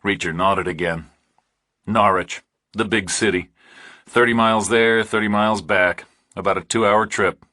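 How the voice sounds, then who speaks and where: Male audiobook narrator for a thriller: very deep voice, close dry sound. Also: fairly even intonation, moderate pace, bright, forceful tone, dry recording.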